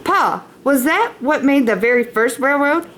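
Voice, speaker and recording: A woman's voice: high voice, animated, unhurried, a bit bright, a little hiss or hum, pitched above the performer's own voice. A woman voicing an eager, curious young pioneer girl in a storybook read-aloud, inquisitive and earnest.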